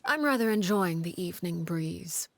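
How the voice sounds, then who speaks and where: An actress playing a composed, commanding woman in a video game, speaking with measured, formal courtesy and quiet reserve. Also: fairly low pitch, expressive, no room sound. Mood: polite, reserved.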